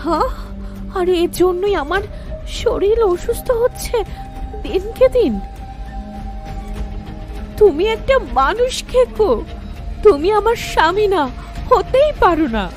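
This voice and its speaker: A woman voicing a young wife who tells her own horror story in the first person, in a slow, tense manner, for an animated ghost story. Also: very high voice, soft background music, heavily acted. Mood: frightened.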